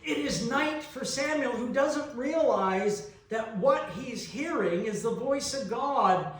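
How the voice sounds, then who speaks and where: A middle-aged man preaching a reflective sermon, deliberate in delivery, with long pauses and rhetorical questions. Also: very high-pitched, lively intonation, a bit bright. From New Brunswick, Canada. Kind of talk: reflective sermon with personal anecdotes. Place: large reverberant church interior. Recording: echoey church, picked up from a distance.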